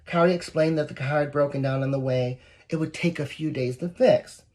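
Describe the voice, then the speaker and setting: A woman reading a picture book aloud to young children, expressive and encouraging, with asides to the listeners. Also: low-pitched, a little fast. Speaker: volunteer story reader.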